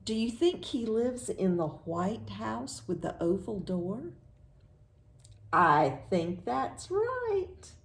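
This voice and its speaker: Lively, unhurried American woman reading to children. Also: on the high side, gravelly. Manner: simple questions with pauses, affirming answers, singsong reading. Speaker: children's librarian, storytime host. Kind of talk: read-aloud storytime for young children.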